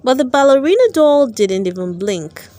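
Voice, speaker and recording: A woman narrating a children's storybook aloud, with careful, expressive storytelling. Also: high-pitched, moderate pace, no room sound. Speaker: storyteller, channel host.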